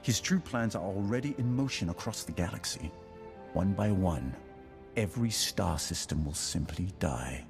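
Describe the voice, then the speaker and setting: An actor playing a grave, proud Sith lord in a video game, making measured, ominous declarations. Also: male voice, low-pitched, animated, vocal fry. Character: grave Sith lord defector, proud, coldly calculating seer. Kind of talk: dramatic video game acting. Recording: loud music behind the voice.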